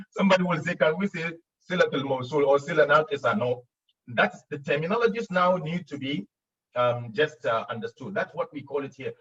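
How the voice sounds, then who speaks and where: A man's voice: mid-range voice, fairly bright tone, distant mic, highs cut off. A man teaching Arabic grammar in an online class, explaining patiently with fillers and repeats, sometimes acting out students' questions. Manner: explains patiently with frequent repeats and fillers, laughs at own jokes; acts out students' questions in a raised voice.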